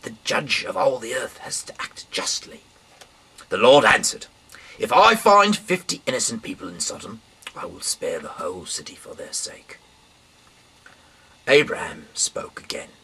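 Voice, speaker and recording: Husky, gravelly man reading scripture aloud. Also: mid-range voice, big pitch swings, pushed and bright, poor audio. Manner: halting, stumbling over and repeating words. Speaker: amateur Bible reader.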